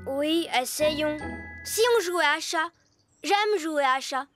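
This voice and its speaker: A woman's voice: high-pitched, slow pace. A woman voicing a playful, cheeky little elf boy in a cartoon. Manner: boyish, playful. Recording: music behind the voice.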